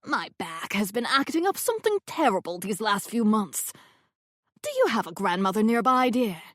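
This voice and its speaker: A woman's voice: mid-range voice, big pitch swings, normal speed, fairly bright tone, dry recording, studio quality. A woman voicing a haughty, bossy older lady who commands everyone politely. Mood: sweetly bossy. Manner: imperious, sweetly manipulative.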